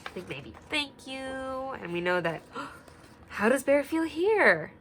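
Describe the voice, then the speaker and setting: A young woman teacher reading a picture book aloud and asking the children gentle questions, sometimes dropping to a whisper. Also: sits high, big pitch swings, slow pace, a little hiss or hum. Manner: drops to a whisper at quiet parts.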